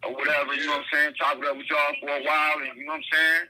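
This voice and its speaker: This is a man with a Cleveland accent talking loosely as a caller, full of slang and fillers. His voice is husky and very sharp, and it sits a little high for a man. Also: level tone, rapid-fire, rough recording. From Cleveland, Ohio. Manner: laid-back slang, keeps asking "you know what I'm saying". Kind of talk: casual phone chat.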